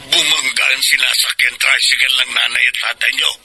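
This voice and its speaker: A mid-pitched Filipino voice from a man actor plays an elderly grandfather. He is stern and grave, with a reproachful edge, in classic radio-drama style. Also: fairly even intonation, fairly quick, natural voice, rough recording. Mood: stern urgency.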